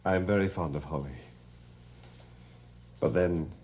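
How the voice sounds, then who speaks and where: An actor playing a controlled, unyielding master of a house in gothic soap opera, low-key and measured. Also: male voice, darkish tone. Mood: cool, firm.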